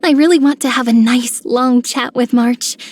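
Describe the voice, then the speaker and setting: Woman voicing a sweet, high-voiced young heroine. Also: fairly even intonation, moderate pace, natural voice. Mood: fond. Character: sweet, affectionate pink-haired young woman.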